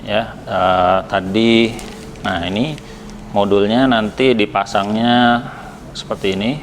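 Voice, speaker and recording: Indonesian man, lowish voice, casual unboxing review, hesitant conversational delivery, some English words, faint background noise. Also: steady tone, takes it slowly. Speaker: product reviewer from a helmet shop.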